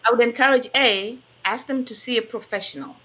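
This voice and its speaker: A woman's voice: on the high side, fairly quick, sharp tone, poor audio. A woman from Kenya, speaking as a mental health professional, earnestly advising on a talk show. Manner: earnest and advising, with frequent restarts. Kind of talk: expert guest on a casual talk show.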